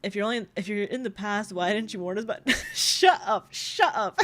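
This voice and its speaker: A woman's voice: mid-range voice, normal speed, a bit bright. Giggly congested young woman streamer. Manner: rambling, giggly, chatty with viewers. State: tired, low energy. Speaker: VTuber streamer. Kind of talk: casual livestream chat.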